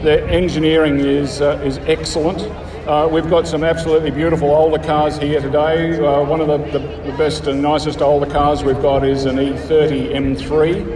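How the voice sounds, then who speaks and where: Club interviewee: rural Australian man, enthusiastic, halting delivery, slightly distant, crowd chatter behind. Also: a little high, little pitch movement, roomy sound. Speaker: car club member being interviewed. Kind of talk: casual interview. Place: outdoors at a motor show.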